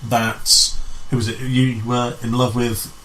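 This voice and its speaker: A man in casual conversation as a guest on a talk show, with a mid-range voice. He speaks haltingly and often rewords his sentences as he goes, and there is clear noise behind him. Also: mid-range voice, flat delivery, a bit bright, poor audio. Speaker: interview guest, amateur pantomime director.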